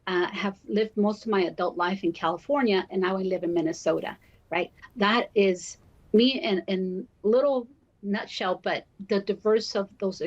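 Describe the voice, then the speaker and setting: Female interview guest, mid-pitched, explaining in a deliberate, measured way over a dull, lo-fi connection. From born in Guatemala, raised in Miami, Florida. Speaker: university diversity officer, interview guest. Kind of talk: broadcast interview answers, explanatory.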